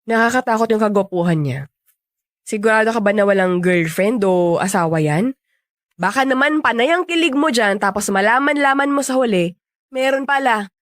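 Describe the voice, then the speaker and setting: An actress playing a skeptical, protective young sister in a radio romance drama, with a blunt, probing way of talking. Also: female voice, a little high, expressive, normal speed, no room sound, studio quality, close to an everyday voice. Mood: skeptical, concerned.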